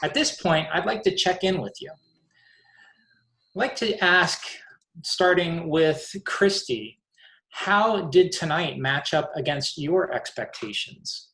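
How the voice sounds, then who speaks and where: A woman serving as the club's membership officer, wrapping up a virtual meeting in a measured, announcing manner over a video call. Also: fairly low pitch, animated, fairly bright tone, echoey room, low-quality recording. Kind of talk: hosting a virtual club meeting.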